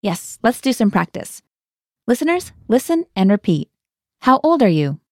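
A woman's voice: on the high side, sing-song, moderate pace, dry recording, clean studio sound. A woman hosting a scripted language lesson, guiding the learner and translating each phrase into English.

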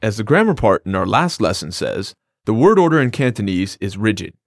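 A man's voice: on the low side, big pitch swings, fairly quick, no room sound. A man co-hosting a scripted language lesson, explaining phrases in an upbeat, plain way. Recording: dry studio recording.

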